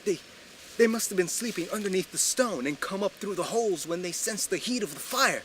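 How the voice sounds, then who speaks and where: Bright-voiced young mountain bridegroom acted by a storyteller man. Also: sits high, lively intonation, brisk, pitched above the performer's own voice. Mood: panicked, gasping. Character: young mountain bridegroom, plain-spoken and protective. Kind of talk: storytelling character dialogue. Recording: noisy live event recording.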